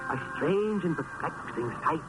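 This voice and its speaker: An actor playing a grandiloquent, anguished gothic poet and narrator in theatrical 1950s radio drama style. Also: male voice, on the high side, lively intonation, a little slow, rough recording. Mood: horrified narration. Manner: theatrical, solemn, declamatory. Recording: vintage radio recording with music behind.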